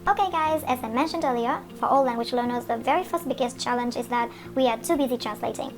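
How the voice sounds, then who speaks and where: A young adult woman with a Vietnamese accent, whose first language is Vietnamese, hosting a solo podcast lesson as an English coach. She talks earnestly and encouragingly, often pausing on fillers. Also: on the high side. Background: faint background music.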